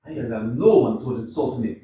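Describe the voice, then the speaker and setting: A man voicing a hot-tempered, blustering landowner in a staged reading of a play, with theatrical shouting bursts. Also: mid-range voice, animated, a little fast, slight background noise, close to an everyday voice. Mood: furious. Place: small community theater. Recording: echoey room, far from mic.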